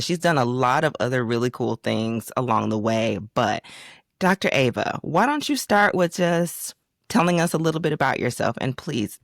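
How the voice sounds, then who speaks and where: Host: woman, animated hype delivery, dry studio sound. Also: a bass voice, no echo. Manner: hype, slangy, playful. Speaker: podcast host and business coach. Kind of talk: energetic scripted intro, then casual interview chat.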